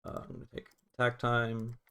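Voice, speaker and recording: A man hosting a casual software tutorial on music production, talking in an offhand, informal way and swearing casually. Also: mid-range voice, completely flat delivery, normal speed, clean tone.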